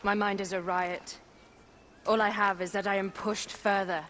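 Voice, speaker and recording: A woman's voice: mid-range voice, level tone, moderate pace, a bit bright, a little hiss or hum, close to an everyday voice. An actress voicing a fierce, imperious young queen who speaks in clipped, commanding and defiant lines.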